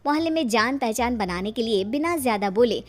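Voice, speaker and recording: A woman's voice: a little high, lively intonation, brisk. A woman narrating a scripted family story in a dramatic storytelling style.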